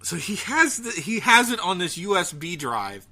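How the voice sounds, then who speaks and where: A man chatting casually as a podcast host, ranting in an animated, profane way. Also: high voice, moderate pace. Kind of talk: casual chat about gaming news.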